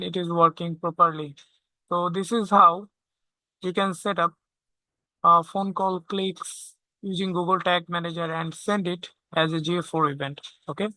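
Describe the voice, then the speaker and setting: A tutorial instructor walking through software step by step, often checking in with a short questioning tag. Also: mid-range voice, flat delivery, normal speed, dry recording, low-quality recording. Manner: checks understanding with frequent "okay?".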